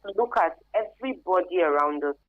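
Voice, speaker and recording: A woman's voice: lowish voice, lively intonation, fairly quick. A woman with a Nigerian accent explaining earnestly as an expert interview guest, repeating phrases for emphasis, on a phone-like radio recording. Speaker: expert guest, career advisor.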